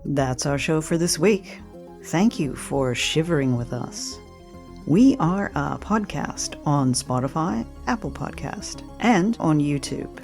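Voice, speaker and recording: A woman co-hosting a podcast, telling things informally in a halting, conversational way. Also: low-pitched, expressive, darkish tone, faint music behind. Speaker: podcast co-host. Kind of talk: casual chat, informal storytelling.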